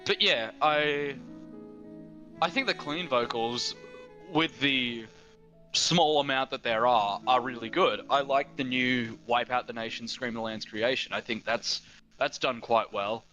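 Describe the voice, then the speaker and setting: An Australian man of eighteen chats easily on a video call, wandering through his thoughts with many fillers. His voice is bright and pushed forward. The audio is somewhat dull, and quiet music plays under it. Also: medium pitch, unhurried. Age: teens. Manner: rambling, many fillers and restarts. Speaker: guest. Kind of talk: casual chat.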